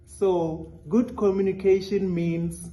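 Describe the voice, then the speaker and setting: A man's voice: high voice, fairly even intonation, takes it slowly, warm tone. A man playing a friendly puppet who struggles to understand others in a children's puppet show. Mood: friendly, guiding. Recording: dull, echoey room recording.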